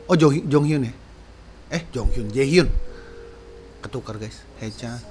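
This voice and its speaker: A man in his thirties giving casual, excitable reaction commentary as a sound engineer, full of slang exclamations, at home in a small room. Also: medium pitch, a little slow, a little hiss or hum. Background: faint music playing.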